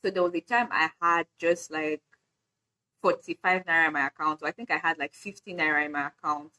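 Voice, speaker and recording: A woman's voice: low-pitched, steady tone, a little fast, sharp tone, poor audio. A young Nigerian woman with a Nigerian accent, whose first language is Edo, chatting casually in a get-to-know-me vlog, with frequent "like" fillers and laughs. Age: young adult. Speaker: vlogger.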